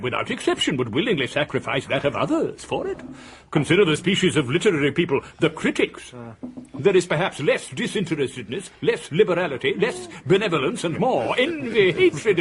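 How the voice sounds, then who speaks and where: A dry sharp-tongued philosopher in a radio play, highish voice that moves a lot. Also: male voice. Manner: dry, cutting. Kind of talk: 1980s radio drama acting.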